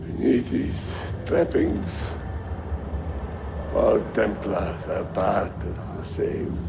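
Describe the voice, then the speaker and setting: A man's voice: on the low side, lively intonation, very slow, over music, full character voice. A man playing a proud, grandiose Byzantine Templar lord in a video game, with an oratorical manner. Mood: weak, dying. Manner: pompous, oratorical, declamatory. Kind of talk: dramatic video game cutscene acting. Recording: game audio with clear background noise.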